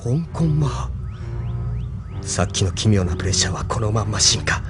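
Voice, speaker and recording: A man's voice: lowish voice, animated, moderate pace, music in the background, lightly acted. A man playing a serious, intuitive young pilot and squad leader in a Japanese audio drama. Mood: alert, urgent.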